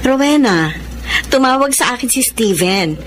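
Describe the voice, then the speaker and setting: A woman's voice: a little high, lively intonation, normal speed, loud music behind the voice, close to an everyday voice. A woman with a Filipino accent playing an older, greedy, coaxing pimp in a radio drama, stretching words persuasively. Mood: sly, coaxing.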